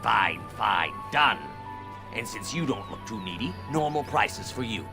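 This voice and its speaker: A man playing a gruff, grudging village merchant in a video game, curt in manner. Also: fairly low pitch, expressive, a little slow, music in the background. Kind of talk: video game character dialogue.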